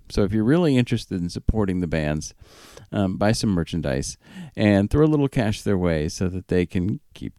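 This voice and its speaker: Male radio host, casual and conversational, animated intonation with a gravelly, creaky edge. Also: lowish voice, no room sound, clean studio sound. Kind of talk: casual radio chat, announcing tracks.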